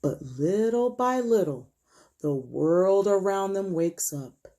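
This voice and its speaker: A woman teacher reads a children's picture book aloud at a slow, careful pace. Her voice is mellow and swings widely in pitch, with a gentle storytelling manner that invites young listeners in. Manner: expressive, gentle storytelling for young children, often dropping to a hush. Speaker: elementary school teacher reading aloud. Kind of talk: picture book read-aloud with questions to pupils.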